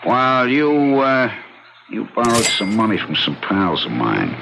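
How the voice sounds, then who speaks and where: An actor playing a menacing, casual debt collector with slangy, veiled threats in an old radio drama. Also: male voice, lowish voice, huge pitch swings, a little slow, vocal fry, full character voice. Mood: quietly menacing. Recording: dull, noisy old radio recording.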